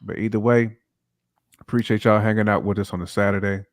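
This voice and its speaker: A man's voice: on the low side, steady tone, brisk, no room sound, studio quality. A man co-hosting a podcast, chatting casually in slang peppered with filler catchphrases. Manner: slangy, peppered with "you know what I'm saying". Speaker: podcast co-host.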